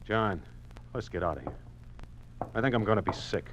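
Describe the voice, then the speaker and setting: Man as a grave, principled husband, animated delivery. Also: fairly low pitch, normal speed, lightly acted, low-quality recording. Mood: sickened. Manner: earnest, grave, dramatic. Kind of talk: 1950s radio drama acting.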